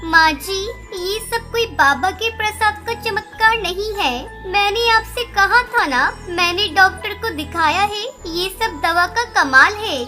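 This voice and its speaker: A woman voicing a gentle, earnest young female bird in a cartoon story. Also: very high voice, lively intonation, a little slow, few pauses. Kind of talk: Hindi cartoon story dialogue. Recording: music under the voice.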